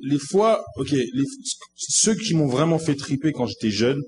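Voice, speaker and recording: A man's voice: fairly high pitch, lively intonation, a little slow, darkish tone, faint music behind. A man from France with a French accent, chatting casually and hesitantly as a guest comedian. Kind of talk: casual podcast chat.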